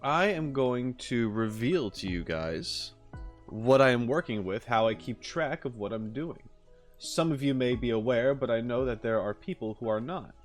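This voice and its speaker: A man with a mid-range voice chats casually as a live streamer. He rambles in an easygoing way, thinking out loud as he goes. Music plays behind him. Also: medium pitch, lively intonation, moderate pace. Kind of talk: casual livestream chat. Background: background music.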